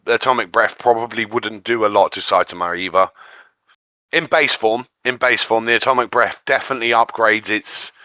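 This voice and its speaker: Bright-voiced low man, dull lo-fi audio. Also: no echo. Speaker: guest panelist. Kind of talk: casual discussion.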